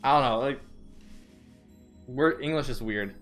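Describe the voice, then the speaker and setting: A man's voice: medium pitch, unhurried, fairly bright tone, faint music behind. Rambling young man streaming a game. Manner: rambling, reads game text aloud, stumbles over names. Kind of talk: casual let's-play chat.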